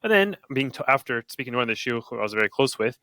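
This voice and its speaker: Bright-voiced man, dry sound. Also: medium pitch, very animated, racing, no room sound. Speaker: podcast co-host. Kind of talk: casual discussion.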